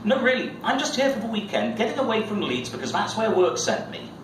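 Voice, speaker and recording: A man's voice: high-pitched, sing-song, fairly quick, voice pushed up. A man reading aloud as an awkward, flustered young male mathematician, hesitant and stammering, in a novel. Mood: hasty, mortified. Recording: echoey, noisy room, distant mic.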